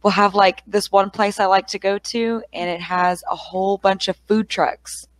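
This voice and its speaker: A woman's voice: medium pitch, fairly even intonation, moderate pace, no room sound. A young woman from Texas with a Texas accent, an English tutor chatting casually on a headset over a video call, friendly and full of "like" and "uh". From Texas, United States. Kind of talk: casual conversation. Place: small room at home. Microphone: headset mic on a video call.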